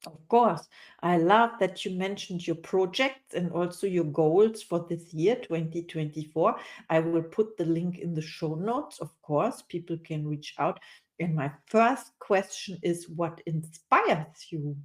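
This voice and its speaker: A woman's voice: lowish voice, measured pace, a little mellow. A woman hosting an interview podcast who reads a short scripted introduction and responds to her guest with affirming remarks.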